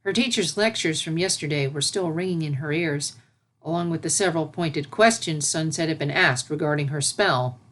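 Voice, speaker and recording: Lower-pitched woman narrating a story. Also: moderate pace. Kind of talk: scripted narration of fan fiction.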